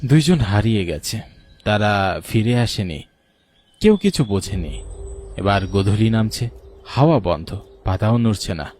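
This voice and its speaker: A man narrating a scripted horror story in a measured, solemn manner. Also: on the low side, lively intonation, a little mellow, slight background noise. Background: music bed.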